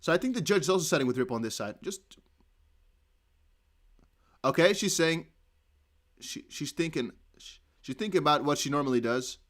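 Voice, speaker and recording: Male streamer with a Dutch accent, slightly high voice, excitable live commentary, laughs often. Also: moderate pace, long pauses. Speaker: crypto news streamer. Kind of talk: live commentary on a court hearing.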